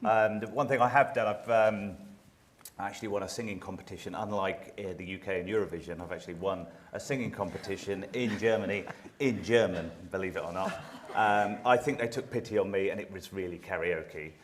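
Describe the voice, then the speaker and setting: Deep-voiced man, self-deprecating panelist. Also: a little fast, a little hiss or hum. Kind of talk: conference panel anecdote.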